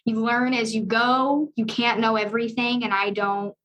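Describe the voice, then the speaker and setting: A young woman with a high-pitched voice talking in an upbeat, earnest and chatty way as a guest on a podcast. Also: fairly even intonation, normal speed, a bit bright, a little hiss or hum. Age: young adult. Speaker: loan officer guest. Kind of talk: casual podcast conversation.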